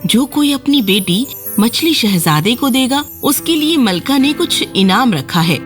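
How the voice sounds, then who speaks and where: A woman's voice: fairly high pitch, sing-song, soft background music. A woman reading a scripted fairy tale for children as the storyteller.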